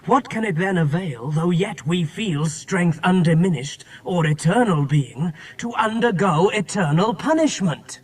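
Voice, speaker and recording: An actor playing an anguished fallen angel, declaiming formal verse in a classical radio drama. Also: male voice, sits high, lively intonation, measured pace, hardly any pauses. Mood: anguished, rising. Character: Beelzebub, anguished fallen angel, proud yet despairing lieutenant.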